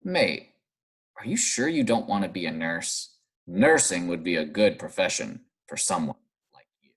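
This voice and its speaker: A man's voice: sits low, big pitch swings, unhurried, breathy edge, pitched below the performer's own voice, exaggerated voice. A man voicing a prim female schoolteacher from a storybook, addressing her young class with questions. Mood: doubtful.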